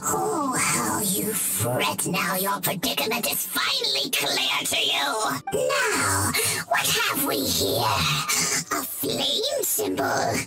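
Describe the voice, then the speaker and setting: An actor playing a gloating, theatrical villain mage in a video game, taunting with drawn-out, cackling delivery over music. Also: mid-range voice, huge pitch swings, exaggerated voice. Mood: mocking.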